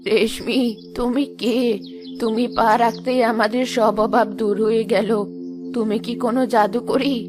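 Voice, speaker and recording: A woman's voice: on the high side, steady tone, normal speed, music in the background, lightly acted. A woman voicing a poor, tender elderly village grandmother in a cartoon fairy tale. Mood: amazed. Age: older.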